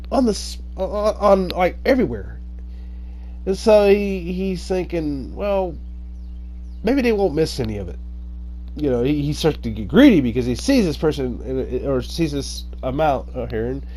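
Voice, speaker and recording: A male storyteller with a very animated, swooping delivery. He tells his tale informally and dramatically, acting out what people say as he goes. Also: a little high, moderate pace, slight background noise. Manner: dramatic, acts out dialogue. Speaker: storyteller, host.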